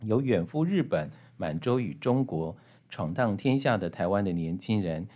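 A man's voice: lowish voice, animated, moderate pace, mellow. Taiwanese man hosting a reflective interview. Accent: Taiwanese Mandarin. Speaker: podcast host interviewing a guest. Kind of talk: conversational book interview.

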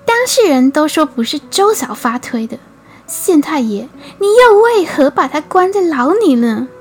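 A woman's voice: high voice, big pitch swings, unhurried, voice pushed up. A woman voicing a brave young heroine who pleads earnestly. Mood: pleading.

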